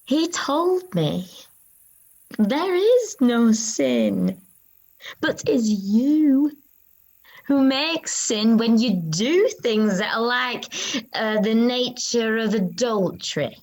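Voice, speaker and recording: A woman playing a female disciple who solemnly recounts a vision in a halting, reverent dramatic monologue with long pauses. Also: fairly high pitch, very animated, darkish tone, heavily acted. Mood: earnest, urgent. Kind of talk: dramatic monologue of an ancient religious text.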